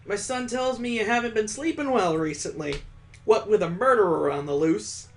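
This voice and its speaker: A man's voice: sits high, moderate pace, pitched above the performer's own voice. A man voicing a jovial, sentimental old father-in-law, hearty and earnest, in a visual novel read aloud. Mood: jovial, teasing.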